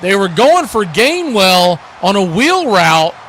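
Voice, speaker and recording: A man's voice: high-pitched, sing-song, slow, a bit bright, a little hiss or hum. A man doing live football radio play-by-play in short, clipped, conversational calls. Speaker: play-by-play announcer.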